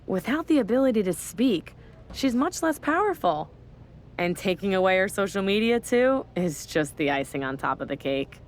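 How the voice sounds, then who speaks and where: A woman's voice: mid-range voice, big pitch swings, normal speed, slight background noise, close to an everyday voice. A woman playing a smug, power-hungry wrestling general manager in a video game, taunting her rival theatrically.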